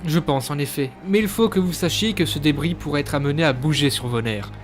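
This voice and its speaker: Doctor for audio drama, played by a man: fairly high male voice, serious, careful, faint music underneath. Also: close to an everyday voice. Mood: serious, concerned. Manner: explanatory, measured, slightly hesitant. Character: serious, careful hospital doctor giving difficult news. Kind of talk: French audio drama acting.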